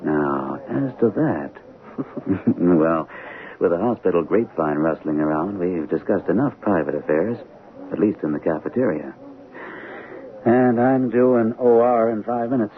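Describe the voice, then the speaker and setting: An actor playing an affectionate, bantering doctor in an old-time radio drama. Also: male voice, sits low, animated, a little slow. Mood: teasing, affectionate. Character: amorous, bantering male hospital doctor engaged to colleague. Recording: narrow-band old radio broadcast.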